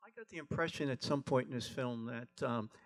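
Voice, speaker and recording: Male audience member, medium pitch, unscripted question, tentative and searching delivery. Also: fairly quick.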